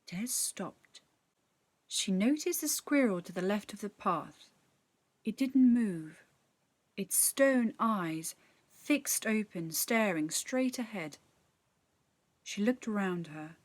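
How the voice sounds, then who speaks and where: A woman narrating a children's story in an expressive, measured, dramatic storytelling style. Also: mid-range voice, no echo. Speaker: actor reading a story.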